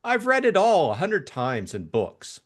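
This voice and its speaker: A man voicing a lighthearted, teasing young gentleman in an audiobook, with an exclamatory, musing manner. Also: high-pitched, wildly swooping pitch, unhurried, pitched above the performer's own voice, full character voice. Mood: incredulous musing. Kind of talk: audiobook character acting.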